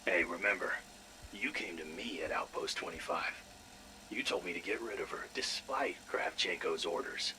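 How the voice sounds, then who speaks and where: Scheming scientist, low-pitched, sarcastic and testy, game audio log, noisy background rumble. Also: male voice, normal speed, sharp tone. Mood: sly, conspiratorial. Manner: sarcastic, exasperated, profane. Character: foul-mouthed, irritable, scheming male scientist doctor.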